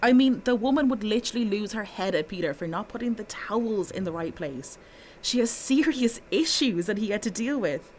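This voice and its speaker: A woman's voice: medium pitch, animated, fairly quick, a little mellow, slight background noise, close to an everyday voice. An actress playing a bitter, exasperated female witness in an audio drama, blunt and indignant. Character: bitter, exasperated woman giving a witness statement.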